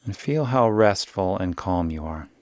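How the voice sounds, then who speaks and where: A man guiding a yoga nidra meditation in a soothing, unhurried way, giving gentle instructions with long pauses. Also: sits low, expressive, dry recording. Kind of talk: guided meditation.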